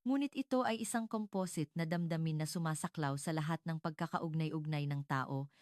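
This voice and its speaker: Woman narrator w low voice, formal measured dramatic storytelling, very dry studio-like sound. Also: a little mellow, no room sound.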